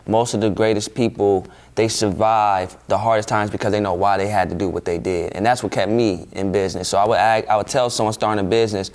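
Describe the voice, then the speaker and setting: A man's voice: fairly low pitch, steady tone, a little fast, hardly any pauses, darkish tone. A man in his twenties, a guest in a casual interview, speaking earnestly and reflectively with frequent "you know" and "man". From Ohio, USA. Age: 20s. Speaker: guest, urban farm founder.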